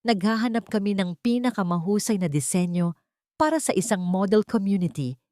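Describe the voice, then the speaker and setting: A woman's voice: medium pitch, a little mellow, no echo, close to an everyday voice. A narrator woman plays a composed Filipino lady official in an audiobook drama. She speaks formally and earnestly, encouraging the listener, with a wide, swinging intonation. The sound is very dry. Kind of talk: audiobook character dialogue.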